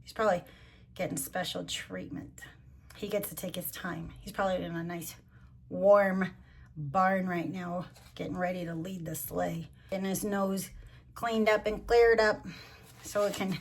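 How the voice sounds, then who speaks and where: A middle-aged or older woman doing a storybook read-along, chatting to child listeners and gently asking them questions. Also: medium pitch, level tone, unhurried, a little hiss or hum. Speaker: grandmother reading to children.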